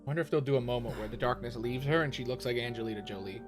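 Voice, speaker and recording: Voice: medium pitch, rapid-fire. A streamer giving casual, sarcastic reaction commentary with swearing and sing-song asides. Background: music and audio of the video being watched.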